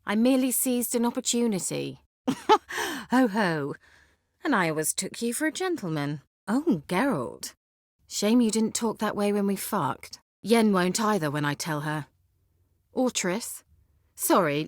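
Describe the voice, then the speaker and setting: A haughty young sorceress from a fantasy game. Her speech is teasing and sardonic, with a very animated, expressive pitch. The sound is dry, with no room around it. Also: unhurried, no echo. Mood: mocking, teasing. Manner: haughty, teasing, biting sarcasm. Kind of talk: video game character dialogue.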